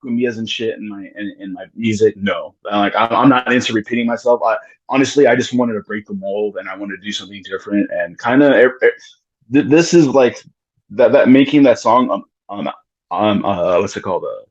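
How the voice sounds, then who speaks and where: A man's voice: on the low side, fairly quick, lo-fi sound. A man talking casually in a podcast conversation about his music, rambling with many restarts and curse words. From United States. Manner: rambling, frequent restarts and swearing. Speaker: musician guest.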